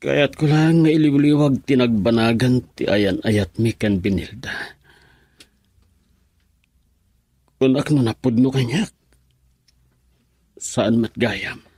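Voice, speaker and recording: A man's voice: mid-range voice, unhurried, dark tone, husky, no room sound, clean studio sound. A man with an Ilocano accent playing a heartbroken young husband in a radio drama. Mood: pained.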